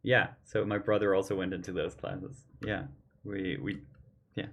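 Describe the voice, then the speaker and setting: Low-voiced man, slightly hoarse, chatting while painting. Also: flat delivery, normal speed, a bit bright. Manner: earnest, opinionated, thinks aloud. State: losing his voice, slightly hoarse. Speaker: artist hosting a painting livestream. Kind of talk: casual chat while painting. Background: faint keyboard and mouse clicks.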